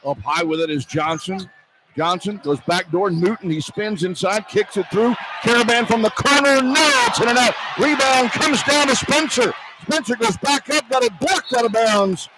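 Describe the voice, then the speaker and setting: A man with a high-pitched voice calls a basketball game for radio. His phrases are short and running, with an excitable edge. Loud arena noise sits behind him the whole time. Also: normal speed. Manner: clipped running phrases, excitable, bursts into shouts. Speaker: play-by-play radio announcer. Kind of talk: live basketball play-by-play commentary. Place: courtside in an indoor arena. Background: loud game ambience.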